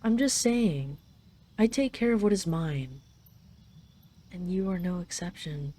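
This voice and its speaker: A woman's voice: fairly low pitch, expressive, a little slow, warm tone. A woman voicing a haughty dragon queen in an intimate roleplay drama, imperious and teasing, possessively fond of her captive. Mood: proud, possessive. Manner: imperious, teasing, intimate, slightly flustered when admitting affection. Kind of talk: roleplay audio drama addressed to the listener.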